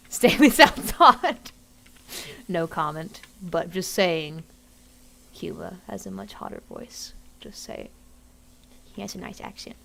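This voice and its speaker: A woman's voice: fairly low pitch, takes it slowly, gravelly, pauses often. Chatty, giggly woman streamer. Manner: chatty, excitable, giggly, talks to chat. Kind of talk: casual live gameplay commentary.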